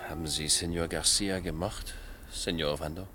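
A man's voice: low-pitched, fairly even intonation, normal speed, over music, lightly acted. An actor playing an earnest, troubled man with a wry, sceptical streak, questioning others in a German radio play. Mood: probing. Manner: earnest, questioning, dryly ironic.

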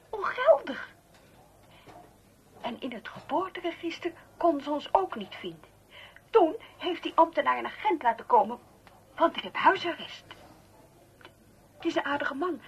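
An actress in a radio play playing an anxious, pleading wife who is frightened. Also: female voice, high-pitched, a bit bright, highs cut off. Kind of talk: Dutch radio play acting.

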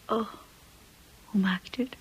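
Breathy, insecure young bride. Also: female voice, mid-range voice, slow. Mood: polite, uneasy. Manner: hesitant, breathy, apologetic. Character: shy, insecure young bride, earnest and devoted. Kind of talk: radio drama acting.

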